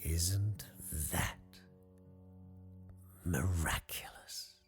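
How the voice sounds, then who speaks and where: Man voicing a slow, grandiose, eerily rapturous circus owner. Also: sits low, fairly even intonation, soft background music. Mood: dreamy, obsessive. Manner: theatrical, rapturous, savoring each word. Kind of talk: audiobook character acting.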